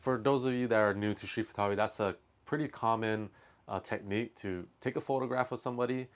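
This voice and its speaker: A man's voice: lowish voice, steady tone, quick pace. A man hosting a reaction video, commenting casually on what he watches.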